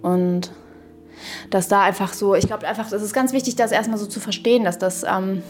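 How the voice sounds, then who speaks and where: Woman, medium pitch, reflective podcast talk, halting and searching delivery, frequent tag questions, faint music behind. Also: steady tone. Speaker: podcast guest or co-speaker. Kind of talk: reflective conversational podcast discussion.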